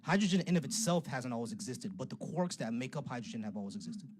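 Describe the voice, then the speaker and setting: A man's voice: mid-range voice, animated, quick pace, darkish tone. Laid-back man hosting a noisy live stream. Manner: loose and talkative, laughs often. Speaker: livestream host. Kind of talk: casual call-in debate chat. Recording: noisy livestream audio.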